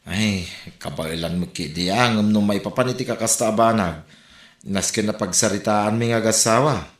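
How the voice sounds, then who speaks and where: A man's voice: on the low side, normal speed, natural voice. A man playing a mild Filipino farmer in echo. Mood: hesitant. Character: hesitant village pig-farming husband, mild, deferring to wife. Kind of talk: amateur audio drama dialogue. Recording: roomy echo.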